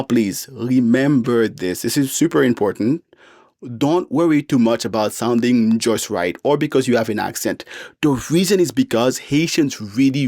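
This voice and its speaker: A man's voice: mid-range voice, a little mellow, no room sound. A man teaching a beginner language lesson in an upbeat, encouraging way, spelling out each phrase carefully. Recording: dry, faint music behind.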